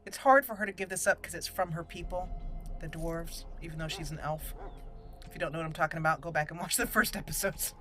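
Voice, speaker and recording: A woman's voice: on the low side, quick pace. A woman hosting her gaming channel, chatting casually and thinking aloud as she plays. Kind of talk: casual let's-play commentary. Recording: voice-over over loud game music. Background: game music.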